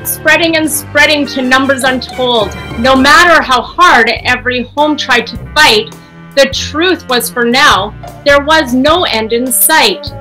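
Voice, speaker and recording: A woman's voice: high-pitched, a little slow, sharp tone, soft background music, rough recording. A woman reading a rhyming story aloud as narrator. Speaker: story reader.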